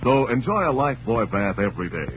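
A man's voice: mid-range voice, expressive, brisk. A man with an American accent announcing in a polished, emphatic 1940s scripted radio style. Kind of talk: 1940s scripted radio narration and sponsor pitch. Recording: muffled, noisy old radio recording with hum.

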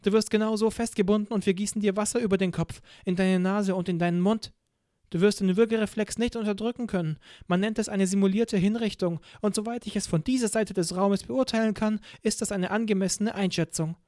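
A man's voice: high-pitched, fairly even intonation, fairly quick, mellow, no echo, clean studio sound, pitched above the performer's own voice, natural voice. A man reading an audiobook, voicing a cold, stern female interrogator in a clipped, coolly polite way. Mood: icy. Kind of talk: audiobook character voice.

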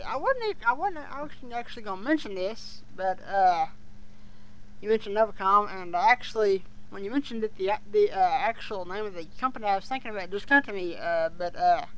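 A podcast co-host chatting casually as a fan, speaking in a halting way with hesitations that trail off. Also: mid-range voice, fairly bright tone, clean tone, rough recording.

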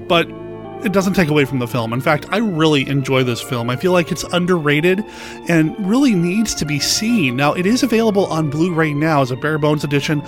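A man talking as a podcast host about films, in a conversational, enthusiastic fan's way. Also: on the high side. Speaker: podcast host, film segment presenter. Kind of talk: film review podcast monologue. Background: music under the voice.

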